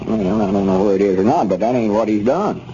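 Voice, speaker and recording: An actor playing a conscientious, folksy rural justice of the peace in a 1930s radio comedy, with a rural Arkansas accent and a deliberate, muttering manner. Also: male voice, on the low side, warm tone, close to an everyday voice. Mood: puzzled. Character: hesitant, conscientious rural justice of the peace, middle-aged or older. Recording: muffled, noisy 1930s radio recording.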